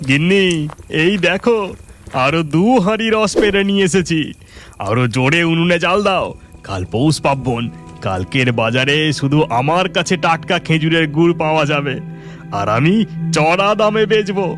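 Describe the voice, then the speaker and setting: A man's voice: a little high, animated, moderate pace, a bit bright. A man voicing a greedy, crafty village jaggery maker in a cartoon, boastful and wheedling, with music behind. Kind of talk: Bengali cartoon story acting.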